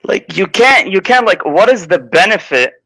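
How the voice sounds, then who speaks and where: A man from the United States calling into a debate, talking casually in a halting, defensive way. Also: high voice, very animated, creaky, pushed and bright, husky, dry recording, rough recording. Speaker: caller.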